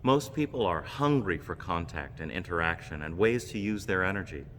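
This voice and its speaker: Male preacher, very low voice, measured and reflective homily delivery, faint background noise. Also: lively intonation, a bit bright. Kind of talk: reflective sermon with personal stories. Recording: long reverb, background noise.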